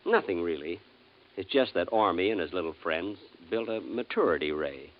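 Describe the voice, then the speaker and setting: A sad lovesick guy in an old radio play, deep quiet-mannered delivery, dull old recording. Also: animated, moderate pace. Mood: calm, understated. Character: wistful, lovelorn man welcomed among Martians. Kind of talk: 1950s radio drama acting. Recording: muffled vintage 1950s radio recording.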